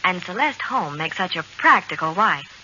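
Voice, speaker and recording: A woman's voice: lowish voice, sing-song, moderate pace, bright, forceful tone. A woman reading scripted advertising patter on 1940s radio. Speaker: radio commercial spokeswoman. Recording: muffled vintage radio with faint hiss.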